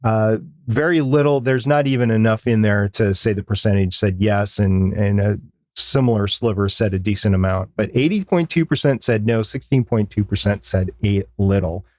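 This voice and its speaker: A man's voice: fairly low pitch, normal speed, dry recording, studio quality. A man with an American accent talking through points casually as a podcast co-host. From United States.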